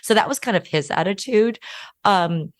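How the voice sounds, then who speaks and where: A middle-aged woman from the United States, a guest telling family stories in an interview, with lively acted quotes. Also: mid-range voice, moderate pace, no room sound. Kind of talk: interview storytelling.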